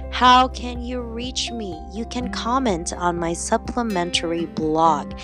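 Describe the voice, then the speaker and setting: A young woman from the Philippines with a slight Filipino accent, hosting her own podcast in a chatty, upbeat way. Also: on the low side. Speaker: podcast host. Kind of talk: casual solo podcast chat.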